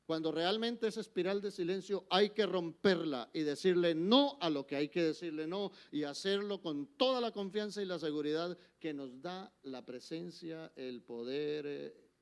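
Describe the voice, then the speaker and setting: An older man with a Colombian accent preaching a sermon in church, emphatic, swinging between forceful exhortation and soft, intimate asides. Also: on the high side, measured pace, clean tone. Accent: Colombian Spanish. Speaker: guest preacher.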